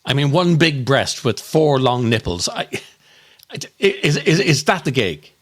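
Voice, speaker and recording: A man delivering a scripted comic monologue as a podcast host, in a grumpy, exasperated, mock-appalled and deliberate way. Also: medium pitch, sing-song.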